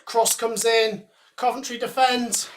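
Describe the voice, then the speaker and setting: A man giving live fan commentary on a match, excitable and shouting at goals, his voice going hoarse and breathless. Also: very high-pitched, a little slow, a little hiss or hum. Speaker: watchalong host commentator.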